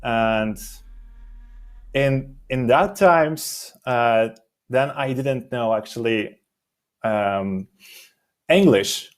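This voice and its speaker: A young adult man whose first language is Romanian, answering interview questions in a halting way while he searches for words. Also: mid-range voice. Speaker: guest, SEO expert.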